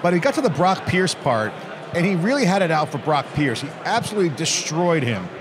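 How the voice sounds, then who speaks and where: A man's voice: a little high, very animated, brisk. A middle-aged American man hosting an interview in a hotel hall at a conference, talking energetically and emphatically into a handheld mic. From United States. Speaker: interview host. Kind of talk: conversational interview. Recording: busy crowd chatter behind.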